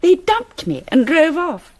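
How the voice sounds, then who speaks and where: A woman's voice: extremely high pitch, huge pitch swings, measured pace, darkish tone, exaggerated voice. An actress playing a scheming, triumphant British woman in a radio play. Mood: amused, smug. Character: scheming, triumphant wife who masterminded a bank swindle.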